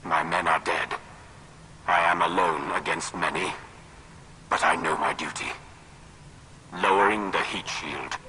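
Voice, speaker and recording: An actor playing a dutiful, grimly stoic Sith military lord in a video game, with formal, clipped delivery. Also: male voice, low-pitched, steady tone, unhurried, pauses often, noisy background. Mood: grim resolve. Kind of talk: video game cutscene acting.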